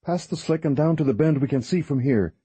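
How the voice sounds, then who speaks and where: A male narrator voicing a calm, determined woman in an audiobook, speaking softly and steadily. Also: on the high side, fairly quick, breathy edge, pitched above the performer's own voice. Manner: soft, steady and resolute.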